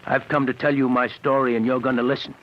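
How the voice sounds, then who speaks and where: An actor playing a brooding, prematurely aged ex-convict in a halting, insistent 1940s radio drama style. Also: male voice, medium pitch, flat delivery, lightly acted. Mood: grimly insistent. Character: embittered, prematurely aged ex-convict, big and broken. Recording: muffled noisy old radio recording.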